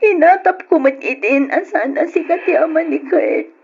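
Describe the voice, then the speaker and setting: A woman's voice: high voice, moderate pace, gravelly, a little mellow. An actress playing an emotional, pleading and reproachful woman in an old Ilocano radio drama. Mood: tearful pleading. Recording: dull lo-fi radio drama recording.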